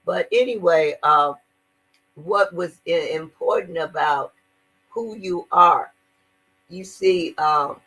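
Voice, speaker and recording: A woman's voice: lowish voice, takes it slowly, pauses often, fairly bright tone, husky, rough recording. An older woman speaking earnestly in a conversational interview, stressing her points and often repeating words. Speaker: guest activist.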